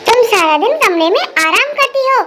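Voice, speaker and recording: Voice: very high voice, lively intonation, normal speed, heavy background noise. A performer voicing an indignant, dutiful grown son who scolds in defence of his mother in a cartoon family melodrama. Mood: angry, accusing. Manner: scolding, accusatory, protective of mother.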